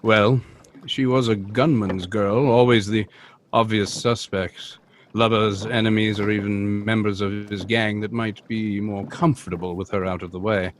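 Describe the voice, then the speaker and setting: An actor playing a suave, thoughtful gentleman detective in an old-time radio drama, speaking in a measured, deliberate way. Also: fairly low pitch, fairly even intonation, close to an everyday voice. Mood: brooding deduction. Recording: live stream with clear background noise.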